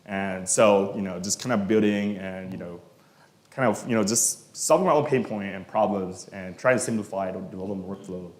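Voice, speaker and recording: A man from Malaysia, a software engineer, answering questions at a meetup in a relaxed, casual way with many fillers, often trailing off quietly. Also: low-pitched, moderate pace. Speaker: guest speaker, software engineer. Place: meetup event room. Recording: echoey room.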